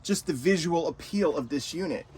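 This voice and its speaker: A man's voice: a little high, moderate pace, a little mellow, slightly rough. A man hosting a casual product review vlog in an enthusiastic way. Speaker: video host reviewing gear.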